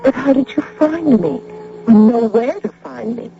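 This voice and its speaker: An actress playing a confused young widow in an old radio drama, plain-spoken and full of questions. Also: female voice, on the high side, measured pace, vocal fry, soft background music. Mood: puzzled. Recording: muffled, noisy radio drama recording.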